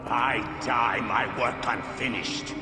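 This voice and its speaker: A man voicing a cold, zealous game villain who drags out every word, his voice ringing in a large echoing hall. Loud music swells behind him. The delivery is lively and shifting in pitch. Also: slow, lightly acted. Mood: menacing.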